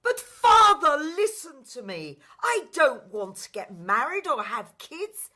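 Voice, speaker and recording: A woman's voice: high-pitched, sing-song, slow pace, sharp tone, voice pushed up, exaggerated voice. A woman voicing a stubborn, defiant young prince, petulant and emphatic, in a radio play. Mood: defiant, exasperated.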